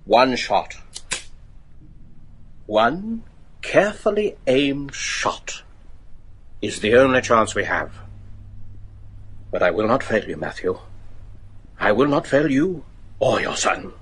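Gentleman in echoing reverb. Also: mid-range voice, very animated. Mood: resolute. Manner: measured, courteous, theatrical. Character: grave, resolute English gentleman, the judge's confidant. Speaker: actor in a radio play. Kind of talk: gothic horror radio drama acting.

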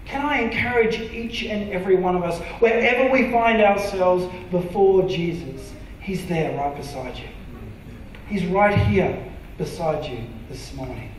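An Australian man preaching a sermon from a church stage, earnest and emphatic, sometimes dropping to a soft, intimate tone. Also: high-pitched, lively intonation, measured pace, slight background noise. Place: large dark church auditorium stage. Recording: very echoey hall from far off.

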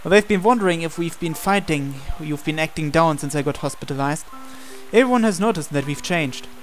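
Male reader, fairly high voice, performing a visual novel protagonist's lines and inner narration over music. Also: a little fast. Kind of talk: reading visual novel dialogue and narration aloud in character. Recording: music playing under the voice.

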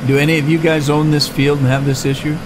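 A man's voice: on the high side, flat delivery, quick pace. Rambling middle-aged man with engine noise. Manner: rambling, chatty, with frequent "uh" and restarts. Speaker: gaming channel host. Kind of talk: casual let's-play commentary. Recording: game engine noise clearly behind the voice. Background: tractor and engine sounds from the game.

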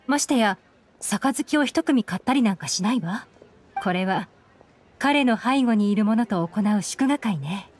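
A woman's voice: medium pitch, a little mellow, lightly acted. A woman playing a composed, shrewd young intelligence chief who speaks with authority in an anime game. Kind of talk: Japanese anime game voice acting. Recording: game music behind.

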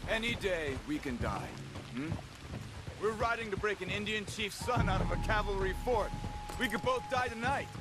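A steadfast, frank outlaw scout in a western video game. His voice is fairly high for a man and measured, over prominent music. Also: lively intonation. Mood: grave, encouraging. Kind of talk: cinematic western game dialogue. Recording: dramatic music bed underneath.

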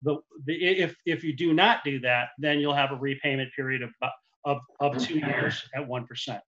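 Man in his forties, lender giving a webinar over a headset; forceful bright tone, restarts often, lo-fi call audio. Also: mid-range voice, normal speed. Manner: explanatory, with frequent restarts. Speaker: commercial lender. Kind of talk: webinar presentation. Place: office with printed backdrop. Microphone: headset boom mic on a video call.

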